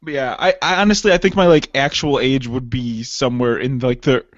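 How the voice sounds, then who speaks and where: A man's voice: medium pitch, steady tone, a little fast, dry recording, dull audio. A man in his late twenties, co-hosting a podcast in rambling, laughing, profane casual chat. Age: 20s. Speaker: podcast co-host.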